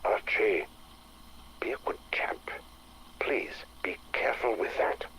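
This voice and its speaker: An actor playing an urbane, fussy English gentleman explorer with an upper-class accent, measured and pompous in a period audio drama. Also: lowish voice, a little hiss or hum, poor audio. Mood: anxious, fussy.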